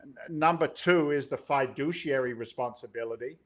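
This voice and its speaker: A man with a loud, emphatic voice co-hosts a casual investing show, blunt and quick to swear. He leans hard on his key points. The recording sounds a bit dull and lo-fi. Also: medium pitch. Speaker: podcast co-host.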